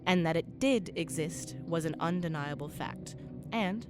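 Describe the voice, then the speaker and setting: A woman narrating a radio play as a grave, scholarly professor, in formal, measured storytelling. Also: low voice, over music. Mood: mysterious, earnest. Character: grave, scholarly professor recounting a sea mystery.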